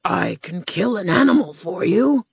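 A woman voicing a timid, fearful male lion in a storybook reading, slow and hesitant. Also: medium pitch, animated, gravelly, slightly rough, heavily acted.

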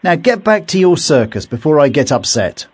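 A man's voice: very high-pitched, sing-song, normal speed, full character voice. A man playing a blunt, hot-tempered, bewildered working man in a dramatized storybook. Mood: irritated. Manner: blunt, exclamatory. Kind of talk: acted dialogue in a dramatized storybook. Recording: long hall reverb.

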